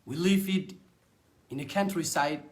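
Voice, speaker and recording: A young man with a Somali accent, a native Somali speaker, giving an earnest personal testimonial about his network marketing business in short halting phrases. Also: a little high.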